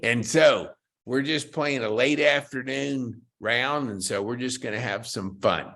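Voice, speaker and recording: A man's voice: mid-range voice, unhurried, creaky, fairly bright tone. A man hosting a podcast interview, chatting in an enthusiastic, folksy way with golf banter. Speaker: podcast host.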